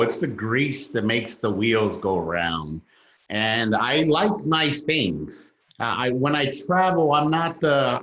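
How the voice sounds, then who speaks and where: A man's voice: medium pitch, fairly bright tone, a little hiss or hum. A man from south Los Angeles, whose was not English, speaking as a podcast guest, candid and emphatic, swearing freely. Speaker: guest, real estate investor. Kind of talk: interview, telling his story. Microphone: over a phone line. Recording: dull lo-fi line with room echo.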